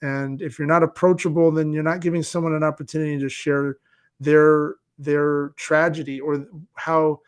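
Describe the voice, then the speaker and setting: A man's voice: fairly high pitch, level tone, moderate pace, clear, resonant tone. A man giving earnest, motivational interview talk as a guest, emphatic and plain-spoken. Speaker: podcast guest, speaker for a support movement.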